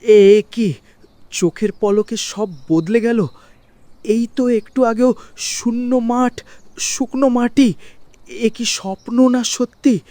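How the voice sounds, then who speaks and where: A naive, honest village farmer, played by a man in a cartoon folk tale. His wonderstruck voice is very high for a man, slow and soft-toned, with a dry sound. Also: steady tone, no echo. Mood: amazed disbelief. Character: astonished poor male farmer, naive and honest.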